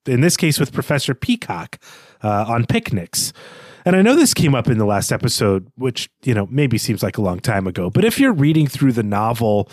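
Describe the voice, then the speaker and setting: A man's voice: mid-range voice, a little mellow, no room sound, studio quality. A man co-hosting a podcast, analysing literature conversationally in a reflective way, often qualifying his points. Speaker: podcast co-host.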